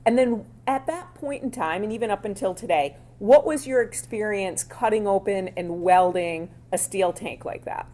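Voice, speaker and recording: A woman attorney questioning a witness in court, with a loud voice and a conversational, plainspoken style of quick follow-up questions. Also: mid-range voice.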